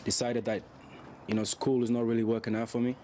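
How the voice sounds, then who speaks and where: A man's voice: medium pitch, level tone, fairly quick, noisy background. A man, talking casually and reflectively in a candid interview outdoors. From South Sudan. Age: 20s.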